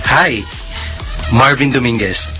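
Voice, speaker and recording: An actor playing a charming, easygoing architect in a radio drama. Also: male voice, medium pitch, slow, pushed and bright, soft background music. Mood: polite. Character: confident unmarried architect, charming.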